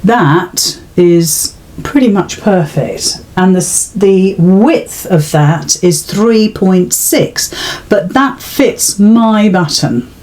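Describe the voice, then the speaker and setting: Woman, British accent, mid-pitched, chatty, reassuring, informal tutorial host, step-by-step instruction. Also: expressive, very slow, darkish tone. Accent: British English. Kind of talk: informal step-by-step craft demonstration.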